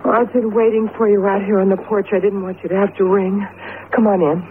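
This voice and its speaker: An actress playing a chatty, excitable wife in a radio drama, affectionate and anxious, with an exclamatory, expressive delivery. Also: female voice, mid-range voice, brisk, close to an everyday voice. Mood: worried. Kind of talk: 1970s radio drama acting. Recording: muffled, noisy vintage radio broadcast.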